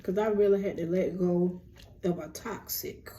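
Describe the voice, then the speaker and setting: A young woman with a deep voice, hosting a rambling, casual lunchtime chat on her vlog. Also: steady tone, normal speed, warm tone, a little hiss or hum. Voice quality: low, deep voice. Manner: chatty, rambling, self-described yapper. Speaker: vlog host.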